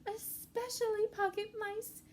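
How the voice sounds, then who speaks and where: A woman's voice: very high voice, little pitch movement, a little slow, slight background noise, pitched above the performer's own voice. A woman voicing a tiny male moth in a children's story, speaking in emphatic warnings.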